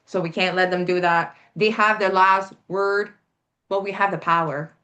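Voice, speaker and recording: A woman's voice: lowish voice, fairly even intonation, normal speed, sharp tone. A Canadian woman speaking as an interview guest, earnest and emphatic, often repeating her point. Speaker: guest, health coalition organizer. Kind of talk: casual interview talk.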